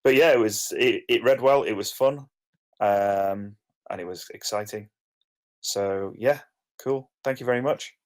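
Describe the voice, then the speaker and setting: A man's voice: lowish voice, a little slow. A man giving informal feedback in a hesitant, rambling way, hedging his opinions. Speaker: participant giving script feedback.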